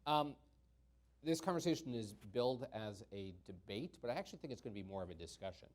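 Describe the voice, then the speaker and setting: A man's voice: medium pitch, animated. A man moderating a panel discussion, introducing the guests with frequent ums and uhs. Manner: halting, many ums and uhs.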